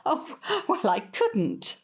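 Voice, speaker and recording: A woman's voice: medium pitch, wildly swooping pitch, extremely slow, a little mellow, breathy edge, heavily acted. An actress playing a prim older neighbour woman in an audio drama, proper, hesitant and genteel. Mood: flustered, tipsy.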